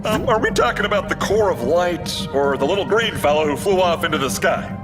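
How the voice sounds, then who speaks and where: A man's voice: sits high, lively intonation, a little fast. A man voicing a goofy, dim and sentimental hero pilot in a cartoon video game, hesitant and hammy. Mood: puzzled. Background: music.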